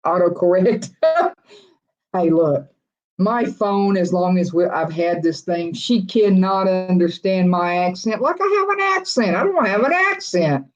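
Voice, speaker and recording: This is a friendly, rambling livestream host with a drawl who reads out viewer comments and answers them in easy, casual chat. The voice sits at a middling pitch and chuckles come often between restarted sentences. The audio is dull and lo-fi. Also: animated, unhurried.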